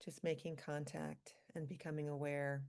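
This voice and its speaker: A woman leading a guided meditation for a group, speaking calmly and slowly in short, spaced phrases. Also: low-pitched, vocal fry. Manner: calm, slow, pausing between short phrases. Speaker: meditation leader, physician.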